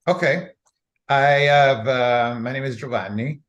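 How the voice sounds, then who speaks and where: A middle-aged man from Holland and Italy, a guest in a live online interview over a video call, relaxed and hesitant with many uhs. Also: mid-range voice, a little slow, muffled recording. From born in Holland, grew up in Italy.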